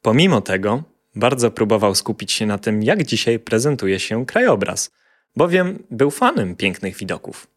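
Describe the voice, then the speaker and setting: A man's voice: lowish voice, sing-song, normal speed. A man narrating a scripted children's fairy tale as a storyteller.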